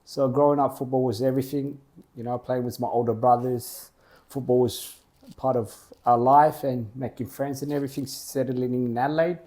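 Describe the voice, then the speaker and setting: A young man, a football program co-founder, answering interview questions plainly in short, halting clauses. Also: medium pitch, steady tone, warm tone. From Australia.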